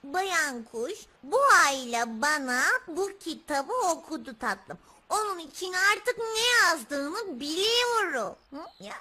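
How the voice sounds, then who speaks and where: An actress voicing a sweet, patient little puppet girl with a bright childlike voice, affectionate and coaxing, for a children's TV show. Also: high voice, very animated, takes it slowly, few pauses, a little hiss or hum, exaggerated voice. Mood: patient explaining. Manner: coaxing, full of endearments.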